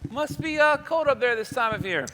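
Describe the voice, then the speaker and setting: A man's voice: very high-pitched, expressive, moderate pace, bright, forceful tone, full character voice. A man playing a genial, showy host of an old-time radio variety show, with folksy announcer-style delivery. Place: large hall, live stage show. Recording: echoey hall, live stage sound.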